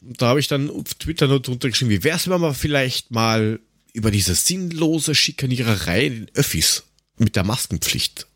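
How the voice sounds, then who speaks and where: A man from Lower Austria with an Austrian accent, chatting casually and sarcastically as a podcast co-host, given to ranting and crude jokes. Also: lively intonation, normal speed, a bit bright, dry recording, studio quality. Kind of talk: casual chat.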